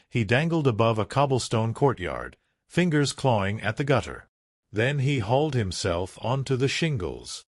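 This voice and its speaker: Male voice, true-crime documentary narration, dramatic, punchy phrasing, lively intonation, dry studio sound. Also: on the low side, no echo.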